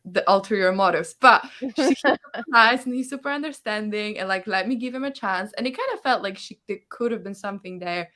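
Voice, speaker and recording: A woman's voice: mid-range voice, fairly quick, bright, forceful tone. A woman hosting a livestreamed book discussion, chatting casually and often acting out imagined reactions. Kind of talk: casual chat, reading out viewer comments. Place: online livestream call.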